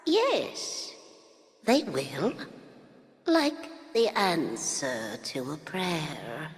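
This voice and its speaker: An actress playing a cruel, haughty villain queen in a video game, with drawn-out, commanding menace. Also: deep voice, huge pitch swings, full character voice. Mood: ominous satisfaction. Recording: cavernous echoing hall reverb.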